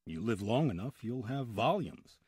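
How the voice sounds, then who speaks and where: A man's voice: lowish voice, sing-song, normal speed, a little mellow, husky, dry recording. A man narrating scripted history in an old-time radio storytelling style, with dramatic emphasis.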